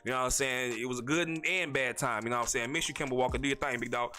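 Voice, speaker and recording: A man from North Carolina, hosting a casual solo podcast in a rambling, slangy way, talking fast with a stutter. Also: mid-range voice, steady tone, bright, forceful tone. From North Carolina, USA. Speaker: podcast host.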